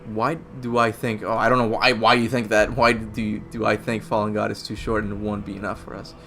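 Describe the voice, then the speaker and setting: A man's voice: lowish voice, flat delivery, fairly quick, pushed and bright. A young man from Cuba hosting a casual gaming Q&A, rambling and self-deprecating, laughing at his own slips. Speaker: gaming channel host answering viewer questions. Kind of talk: casual livestream Q&A chat. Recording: faint music behind.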